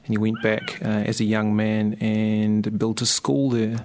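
Chatty New radio host. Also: male voice, on the low side, flat delivery, a little slow, darkish tone. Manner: chatty, filler-heavy. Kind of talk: radio talk show. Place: radio studio.

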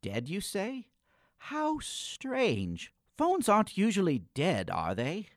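An actor playing a morose, dryly polite young male poet in a comic gothic radio play, with a theatrical, wordy, deadpan manner. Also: high-pitched, slow pace, no room sound, studio quality, heavily acted. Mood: wry.

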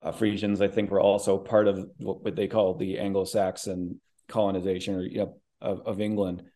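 Midwestern man, low and mellow, rambling interview guest with restarts. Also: flat delivery, brisk. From American Midwest. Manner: thoughtful, rambling with many restarts. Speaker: voice actor.

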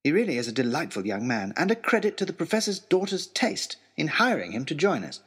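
A male storyteller delivers a first-person adventure tale. His delivery is theatrical and expressive, with pitch that rises and falls widely. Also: brisk, husky.

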